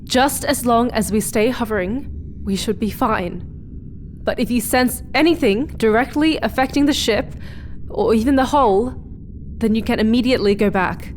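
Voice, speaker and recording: A woman playing a cautious but determined starship explorer in a sci-fi horror audio drama, speaking in a hushed, halting, deliberate way. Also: a little high, a little hiss or hum, close to an everyday voice. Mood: firm.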